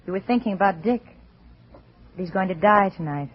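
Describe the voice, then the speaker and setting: A woman's voice: mid-range voice, expressive, moderate pace, lightly acted. An actress playing a cool, scheming American wife in an old radio suspense drama, coy and sweetly menacing as she mocks and pressures. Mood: sweetly probing. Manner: coy, silky, mocking. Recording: muffled vintage radio recording.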